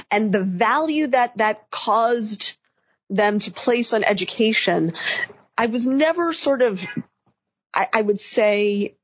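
Female interview guest, husky, reflective, picks words with care, lo-fi sound. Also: mid-range voice. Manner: thoughtful, searching for words. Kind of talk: reflective conversational storytelling. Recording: muffled lo-fi recording.

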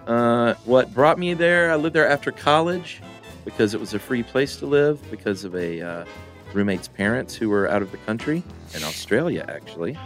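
A middle-aged man co-hosting a podcast, reading listener mail in a rambling, relaxed way with lots of fillers. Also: medium pitch, moderate pace, faint music behind. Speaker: podcast co-host.

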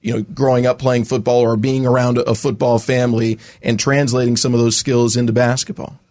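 A man's voice: mid-range voice, little pitch movement, a little fast, a little mellow, dry recording, studio quality. A man hosting a sports podcast interview in a polished broadcaster's style. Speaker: sports podcast host and radio play-by-play broadcaster.

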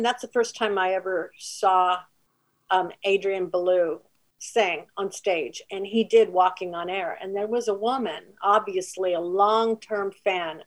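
A female singer with a soft voice, telling anecdotes casually in an interview. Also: medium pitch, rough recording.

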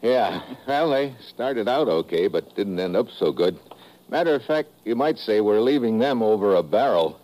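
An American actor playing a tough, wisecracking secret agent in a 1950s radio drama, sardonic and hard-boiled. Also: male voice, lowish voice, expressive, normal speed, close to an everyday voice, poor audio. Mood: wry, satisfied. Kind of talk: 1950s radio drama acting with first-person narration.

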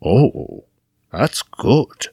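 A man playing a dim, eager, childlike villain's henchman, earnest and simple, with a rough voice. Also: very slow, mellow, dry recording, clean studio sound. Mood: plain, slow.

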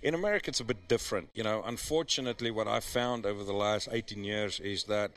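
A man's voice: fairly low pitch, quick pace, fairly bright tone. A man who is a professional hunter and is not a native English speaker, talking earnestly and persuasively in an interview with frequent restarts. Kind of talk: casual interview talk.

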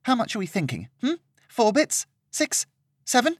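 A haughty, pampered young woman, put on by a man reading the tale aloud, complains in a high, whining voice. Her demands climb sharply in pitch. The sound is close and dry, with no room around it. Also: wildly swooping pitch, normal speed, dry recording, heavily acted. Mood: impatient. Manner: whiny, indignant.

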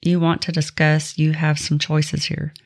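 A woman's voice: sits low, monotone, measured pace, gravelly. A woman co-hosting a podcast, chatting informally as she shares announcements with listeners. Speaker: podcast co-host. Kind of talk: casual podcast chat with announcements.

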